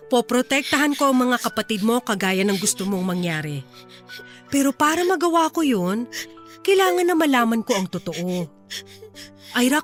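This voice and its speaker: A woman's voice: fairly high pitch, natural voice. A woman playing a firm, protective social worker in a radio drama, speaking earnestly and persuasively. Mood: earnest, reassuring.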